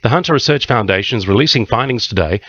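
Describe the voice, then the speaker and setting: A man's voice: mid-range voice, expressive, fast talker, a bit bright, no room sound, dull audio. An Australian man reading a scripted radio news bulletin in a studio.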